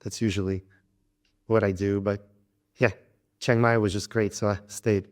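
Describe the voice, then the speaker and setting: A young man from Louisiana, an interview guest talking casually about travel and language learning, relaxed and halting, with frequent restarts and light laughs. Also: on the low side. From Louisiana, United States.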